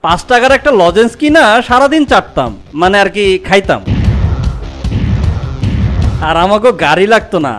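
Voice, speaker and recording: Woman as a preachy, fussy uncle, lively and flustered, over light background music. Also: medium pitch, normal speed, long pauses, lightly acted. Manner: lecturing, flustered. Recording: faint music bed.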